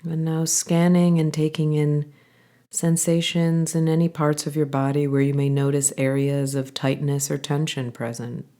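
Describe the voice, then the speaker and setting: A woman guiding a body scan meditation, giving calm, gentle instructions in an unhurried way with pauses. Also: deep voice. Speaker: meditation guide.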